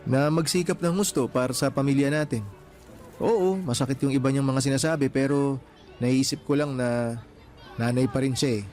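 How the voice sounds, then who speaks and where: A man's voice: medium pitch, moderate pace, darkish tone, faint music behind, close to an everyday voice. A man acting an earnest, hardworking young husband and father-to-be in a radio romance drama, talking in an easygoing conversational way. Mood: earnest, forgiving.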